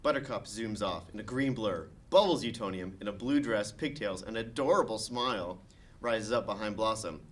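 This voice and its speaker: A man's voice: lowish voice, moderate pace. A man reading stage directions and narration aloud as the narrator of a comedy script table read.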